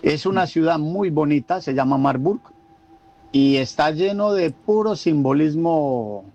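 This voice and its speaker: A man's voice: a little high, animated, unhurried, creaky, a little mellow. A Colombian man with a Colombian Spanish accent, talking casually as a caller to a radio show. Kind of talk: casual call-in talk. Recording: dull lo-fi call, some background noise.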